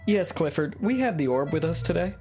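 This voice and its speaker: Man playing an officious, exasperated boss, higher-pitched, over music. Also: brisk. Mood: businesslike. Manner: pompous, deadpan corporate patter. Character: exasperated, officious male boss of a death pit. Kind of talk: comedic audio drama acting.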